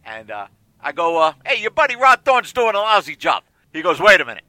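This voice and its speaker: Shrill, animated older man talking sports. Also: brisk, no echo. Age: 60s. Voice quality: shrill, distinctive voice. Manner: emphatic, repeats phrases for emphasis. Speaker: sports talk show panelist.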